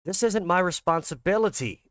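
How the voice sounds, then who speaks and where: A man's voice: sits high, animated, normal speed, fairly bright tone, no room sound, studio quality, pitched above the performer's own voice. Man voicing a rattled, selfish horror survivor. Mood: defensive, panicked. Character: panicked, self-serving man in a horror story. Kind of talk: visual novel character reading.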